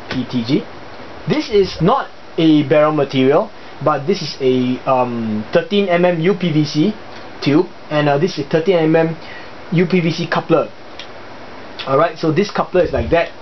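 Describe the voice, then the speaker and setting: A young man with a Singaporean accent and a slightly high voice talks through his hobby gear in a casual, chatty way, often pausing on fillers as he explains. The recording sounds dull and lo-fi, with a faint hiss underneath. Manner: chatty, hesitant with many fillers. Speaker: hobbyist video host. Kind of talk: casual show-and-tell explanation.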